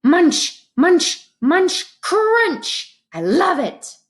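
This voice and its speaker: A woman's voice: very high voice, big pitch swings, drawn-out words, voice pushed up, heavily acted. A woman voicing a proud, exuberant, artistic beetle in a children's storybook, exclaiming with self-assurance. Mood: gleeful. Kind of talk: children's storybook read-aloud.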